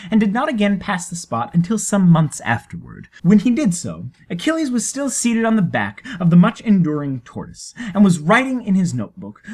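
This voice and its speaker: A man reading scripted story narration as the narrator. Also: high-pitched.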